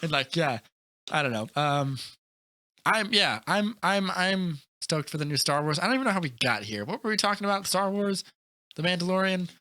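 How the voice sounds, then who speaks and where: A man's voice: high voice, animated, moderate pace, sharp tone, no echo, studio quality. A man hosting a casual movie chat podcast, blunt and opinionated, swearing freely as he talks. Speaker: podcast host.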